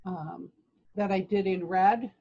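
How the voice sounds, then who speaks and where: A woman in her sixties giving an informal art tutorial in halting, unhurried phrases with long pauses. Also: medium pitch, steady tone, gravelly, warm tone, breathy edge. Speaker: colored pencil artist demonstrating techniques. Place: indoors, home studio room with framed art. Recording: dull, lo-fi webcam-style sound.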